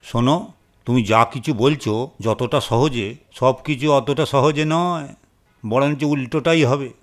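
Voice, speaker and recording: An actor playing a stern, callous middle-aged householder in a cartoon moral story, curt and dismissive. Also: male voice, mid-range voice, unhurried, lightly acted. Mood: argumentative. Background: music.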